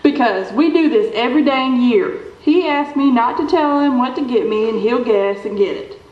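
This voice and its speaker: A woman's voice: fairly high pitch, normal speed, close to an everyday voice. A woman playing an exasperated, gossipy small-town scout mother in a comedic live radio play, folksy and wry. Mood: wry gossip. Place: theater stage with stand microphone. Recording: echoey stage hall, dull sound.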